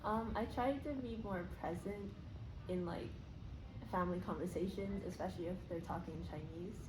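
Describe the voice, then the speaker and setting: A woman's voice: lowish voice, normal speed. A woman giving casual, hesitant interview answers full of fillers. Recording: echoey room with background noise.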